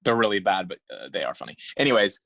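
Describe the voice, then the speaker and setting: A young man co-hosts a casual film podcast, his voice bright and forceful. He talks eagerly, often stumbling and repeating words as he works out his point. He is recorded close on a dry mic with little room sound. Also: low voice, very animated, fast talker, gravelly, dry recording, highs cut off. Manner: enthusiastic, filler-heavy, repeats words. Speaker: podcast co-host.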